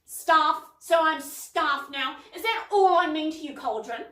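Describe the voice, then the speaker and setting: A woman voicing a rude, quick-tempered housekeeper who talks bluntly, recorded in a roomy space at some distance from the mic. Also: sits high, lively intonation, pushed and bright, voice pushed up, heavily acted. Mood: resentful. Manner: snarling, blunt.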